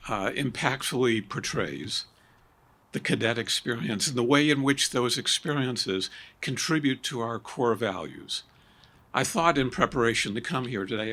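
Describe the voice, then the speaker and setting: Bright-voiced older man giving ceremony remarks. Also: medium pitch. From Maine. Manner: measured, stresses key words with rising emphasis. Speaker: ceremony speaker, former cadet.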